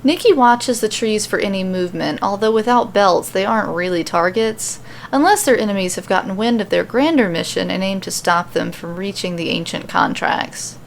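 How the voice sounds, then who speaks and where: A woman hosts a storytelling podcast in an informal, self-deprecating way. Her voice sits at a middling pitch with plenty of vocal fry. The recording carries a faint layer of noise. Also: normal speed. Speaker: podcast host and story reader. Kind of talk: casual podcast intro and fan fiction narration.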